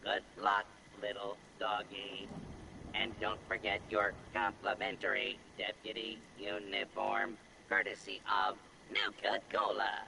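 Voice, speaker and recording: Monotone, gravelly robot cowboy sheriff. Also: male voice, fairly low pitch, noisy background. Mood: folksy, flat. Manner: flat, halting, programmed delivery with cowboy drawl phrasing. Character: folksy robot cowboy sheriff greeting theme park visitors. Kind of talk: scripted video game character dialogue.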